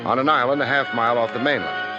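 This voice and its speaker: A man's voice: mid-range voice, animated, fast, lightly acted. Man playing a wry radio detective, music behind. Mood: wry narration. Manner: wry, casual, clipped wisecracks. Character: wisecracking, laid-back male private detective. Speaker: detective lead and first-person narrator. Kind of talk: 1950s radio drama acting, hardboiled narration. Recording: dull old radio recording.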